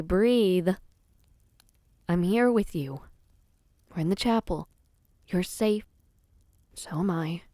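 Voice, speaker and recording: A woman playing a gentle, protective young prince in a dramatic audio roleplay, speaking in a soothing, earnest manner. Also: fairly low pitch, dry recording. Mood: soothing, worried.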